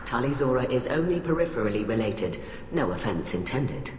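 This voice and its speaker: An actress playing a cold, haughty alien admiral in a video game, speaking in a clipped, formal, condescending way. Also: female voice, extremely low pitch, very animated, brisk, darkish tone, a little hiss or hum. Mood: haughty conviction. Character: cold, haughty alien admiral, female, middle-aged scientist, ruthlessly rational. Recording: echoey hall reverb, music behind.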